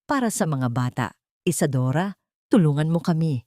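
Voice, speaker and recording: A woman's voice: low-pitched, lively intonation, moderate pace, dark tone, no room sound, studio quality. Woman voicing a pleading, tearful elderly Filipino mother-in-law. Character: elderly mother-in-law, humbled, desperately begging. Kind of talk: audiobook character dialogue.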